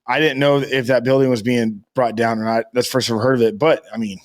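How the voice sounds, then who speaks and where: A man's voice: medium pitch, fairly even intonation, brisk. An American man chatting casually as a podcast co-host, rambling and emphatic, with slangy talk and free swearing.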